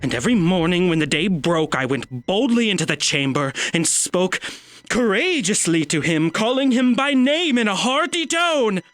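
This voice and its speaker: Slow, nervous, mad murderer. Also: male voice, high voice, no echo, heavily acted. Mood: smug. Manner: intense, halting, dramatic delivery with hushed and heightened swings. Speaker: narrator. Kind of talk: theatrical audio drama monologue, gothic horror.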